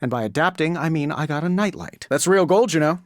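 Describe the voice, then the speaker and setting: An actor playing a cocky, quippy superhero inventor in a video game, glib and sarcastic. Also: male voice, a little high, animated, a little fast, dry recording, clean studio sound, lightly acted. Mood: playful, sardonic. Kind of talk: video game voice acting, scripted quips.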